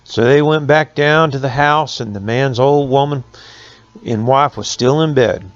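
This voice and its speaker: A man's voice: mid-range voice, measured pace. An older man reading a folk tale aloud as a storyteller, with folksy rural phrasing. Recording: echoey room, slightly dull sound.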